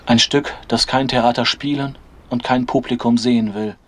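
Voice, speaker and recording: An actor playing a solemn announcer of a bleak stage play, reading scripted radio drama narration. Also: male voice, mid-range voice, steady tone, moderate pace, a bit bright, lightly acted. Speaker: narrator reading prologue and cast list.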